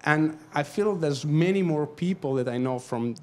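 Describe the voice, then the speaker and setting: A man's voice: a little high, normal speed. A man in his thirties from Italy with an Italian accent, a photographer speaking from the audience into a handheld mic, earnest and searching for words in English. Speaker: audience member, documentary photographer. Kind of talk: audience question, storytelling. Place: auditorium seating among an audience.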